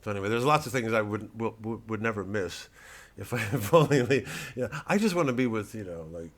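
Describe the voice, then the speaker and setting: A man who is a comedian, talking casually to his audience in a halting, digressive monologue with repeated words. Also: fairly low pitch, animated. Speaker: comedian, host.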